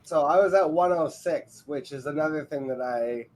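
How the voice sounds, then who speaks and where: Chill quebec guy on a podcast, kinda high voice, jokey, makes fun of himself. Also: normal speed, darkish tone, poor audio. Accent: Canadian French-English mix, Quebec. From Canada. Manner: laid-back, joking, self-deprecating. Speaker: guest analyst. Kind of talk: casual podcast chat.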